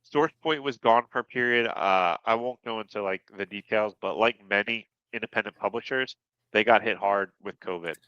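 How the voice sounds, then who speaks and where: A man with a low, bright, smooth voice that sounds a little nasal from a head cold. He talks about his work in an easygoing, enthusiastic way. The remote call audio is dry and slightly dull. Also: normal speed, dry recording. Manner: easygoing, enthusiastic, laughs often. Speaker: comic book writer guest. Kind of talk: casual podcast interview.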